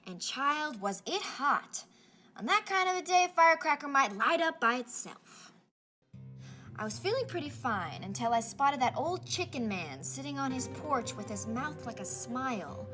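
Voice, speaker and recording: Woman playing spirited Southern grandma for a children's storybook: higher-pitched, folksy, animated, drawn-out telling, music underneath. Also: heavily acted. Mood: spirited, awed. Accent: Southern American. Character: folksy, spirited Southern grandmother reminiscing about her girlhood. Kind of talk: children's storybook character reading.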